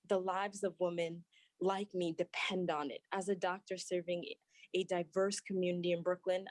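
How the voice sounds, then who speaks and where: A young woman doctor reads earnest prepared testimony at a hearing, her voice low and steady. She stumbles and restarts now and then. The sound is dry and close, with no room echo. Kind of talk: prepared testimony, read aloud. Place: at work, remote testimony.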